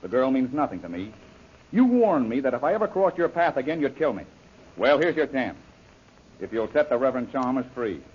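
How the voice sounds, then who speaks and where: Brave, wry adventurer hero, mid-range voice, forthright, vintage radio serial with dull sound. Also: male voice, mid-range voice, sing-song, a little fast, husky. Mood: resolute. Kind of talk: old-time radio adventure serial acting. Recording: muffled, noisy old radio recording.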